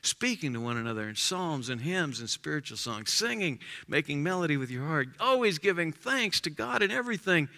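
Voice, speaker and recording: A man preaching a sermon in an emphatic way, his voice rising to loud exclamations. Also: fairly high pitch, sing-song, brisk, gravelly, few pauses, in a large hall.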